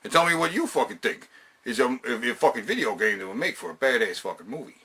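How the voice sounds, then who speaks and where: A man with a raspy, gravelly voice hosts a casual, opinionated countdown video, talking emphatically with heavy swearing and repeating himself. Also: mid-range voice, animated, quick pace, sharp tone. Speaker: video host counting down a top ten list.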